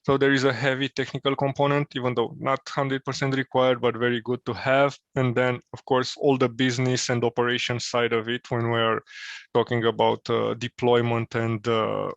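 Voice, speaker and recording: A man speaks at an average pitch, pausing often to think. His voice is bone-dry and comes through dull lo-fi video-call audio. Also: flat delivery, vocal fry, no room sound. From Romania. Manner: thoughtful, many filler pauses. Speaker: interview guest. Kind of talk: casual career interview.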